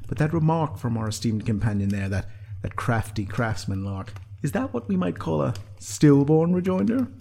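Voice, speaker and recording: Guy doing a glib fantasy merchant man, quick witted, husky voice, audiobook style. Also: mid-range voice, expressive, warm tone, voice pushed up. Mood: playful, careful. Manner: game, glib. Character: smooth-talking, quick-witted male leather merchant.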